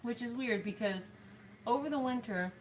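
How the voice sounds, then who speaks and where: A woman's voice: on the high side, normal speed, low-quality recording. Snuffly woman chatting dryly. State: snuffly nose. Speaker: co-host reading chat. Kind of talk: casual chat. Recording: stream with faint background music. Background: faint background music.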